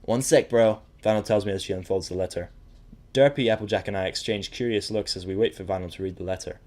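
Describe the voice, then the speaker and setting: A man's voice: sits low, fast, darkish tone. A man narrating a scripted first-person audio drama story, also speaking as the main character.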